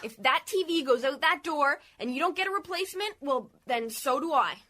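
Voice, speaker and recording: A high, piercing teenage girl for radio comedy. She is a whiny, defiant daughter who argues everything in slangy, sharp tones. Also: animated, brisk. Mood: threatening, dramatic. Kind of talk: sitcom-style radio comedy acting.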